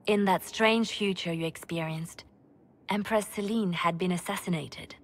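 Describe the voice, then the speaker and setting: An actress playing a shrewd, composed spymaster in a game cutscene, speaking in a measured, serious way. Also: female voice, on the low side, close to an everyday voice. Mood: grave, knowing. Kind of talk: video game cutscene acting.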